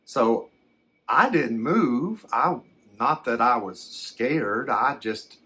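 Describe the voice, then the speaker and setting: A man plays a folksy fellow telling his own strange tale for ASMR. His voice sits at an ordinary male pitch and moves very slowly. He rambles plainly, halting and restarting as he recollects. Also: animated, sharp tone, full character voice. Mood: musing, matter-of-fact. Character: folksy man recounting his alien abduction. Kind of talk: unhurried ASMR first-person storytelling.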